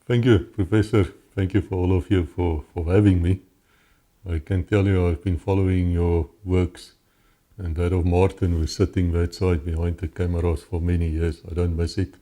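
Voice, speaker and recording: A man's voice: deep voice, flat delivery, normal speed, dark tone. A middle-aged South African man with an Afrikaans accent, telling his personal story conversationally as an interview guest.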